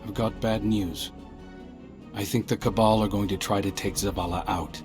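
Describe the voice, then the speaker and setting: An actor playing an earnest, wry undercover scout in a video game, talking in an urgent, conversational way. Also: male voice, lowish voice, fairly even intonation, normal speed, close to an everyday voice. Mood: grave, urgent. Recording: loud music behind. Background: game soundtrack music.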